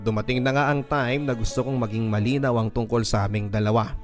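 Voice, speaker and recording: A man's voice: medium pitch, fairly even intonation, fast. A Filipino man hosting a radio drama, reading a listener's first-person story as dramatic, earnest, sentimental narration. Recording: music bed under the voice. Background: music.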